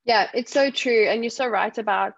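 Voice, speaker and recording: Woman with a softened South African accent. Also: on the high side, normal speed, creaky, dull audio, slight background noise. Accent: South African, softened by years in the UK. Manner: animated storytelling, acts out other people's remarks. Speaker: guest speaker. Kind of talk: casual conversational interview.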